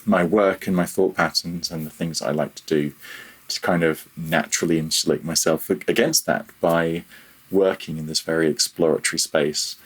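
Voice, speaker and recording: A man's voice: sits low, fairly even intonation, moderate pace, breathy edge. A man talking casually as an interview guest, candid and self-deprecating with a wry manner. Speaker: podcast guest, audio software developer.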